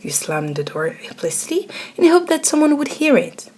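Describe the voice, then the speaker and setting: A woman's voice: high-pitched, big pitch swings, normal speed, a little mellow. A woman narrating a scripted ASMR roleplay story, addressing the listener directly. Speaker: narrator and voice actor.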